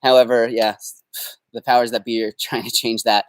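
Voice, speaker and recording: A man's voice: medium pitch, level tone, a little fast, no echo, rough recording. A young Canadian man hosting a casual live Q&A from his backyard, upbeat and rambling with fillers. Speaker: host. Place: backyard, outdoors.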